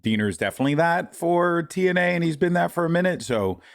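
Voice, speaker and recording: A man co-hosting a wrestling podcast, talking informally and haltingly with lots of "you know" and "like". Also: fairly high pitch, lively intonation, creaky. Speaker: podcast co-host. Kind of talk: casual wrestling chat.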